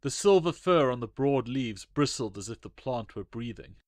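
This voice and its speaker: A man's voice: mid-range voice, expressive, moderate pace, a little mellow, no room sound, studio quality. A man reading his own short story aloud as a dramatic, scripted narration.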